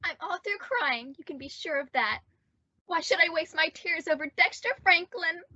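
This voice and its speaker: Lovelorn, histrionic teenage girl for radio comedy played by a woman; very high, bright voice, indignant delivery. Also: expressive, moderate pace, full character voice. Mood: haughty resentment. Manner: histrionic, emphatic, wailing. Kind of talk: old-time radio comedy acting. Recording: dull, lo-fi radio-play audio.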